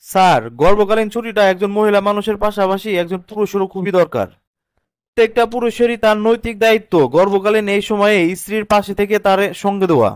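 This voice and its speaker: A man's voice: high voice, fairly even intonation, fast talker, clear, resonant tone, no echo, lightly acted. A man playing an earnest, protective young husband in a cartoon, reasoning and lecturing in a colloquial Bangladeshi accent. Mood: earnest, pleading. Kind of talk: cartoon drama dialogue.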